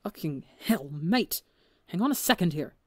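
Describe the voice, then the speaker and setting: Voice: mid-range voice, normal speed, dark tone, no echo, studio quality, lightly acted. A storybook reader voicing a guilty, flustered male pirate captain who pleads and swears while defending himself. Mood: flustered pleading.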